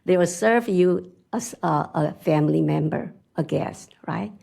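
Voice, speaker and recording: A middle-aged woman with a strong Chinese accent giving an animated conference talk, often laughing and asking "right?". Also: on the low side, a little slow, gravelly. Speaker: conference speaker. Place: conference hall.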